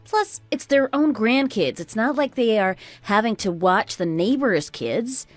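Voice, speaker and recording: A woman's voice: fairly high pitch, lively intonation, measured pace, no echo. A woman playing an apologetic but indignant working mother in a conversational audio drama. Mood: defensive.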